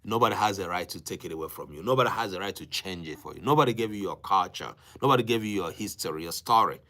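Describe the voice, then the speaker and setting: A man with a Nigerian accent and Igbo as his native language, speaking as a teacher exhorting live-stream viewers in an emphatic, preaching way with repeated phrases and deliberate pauses. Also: low-pitched, expressive, bright, forceful tone. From Africa.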